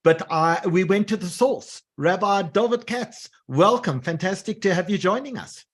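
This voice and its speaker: A man with a slightly high voice acts as the host of an interview. He introduces his guest and puts questions to him. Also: animated, moderate pace. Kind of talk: hosting, posing questions to a guest.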